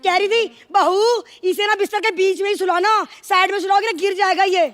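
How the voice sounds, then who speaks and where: A woman playing a loud, dramatic, scolding village wife and mother in live TV sketch comedy. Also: extremely high pitch, steady tone, a little fast. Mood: comic complaining. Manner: theatrical, scolding, exclaiming. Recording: noisy stage with studio audience.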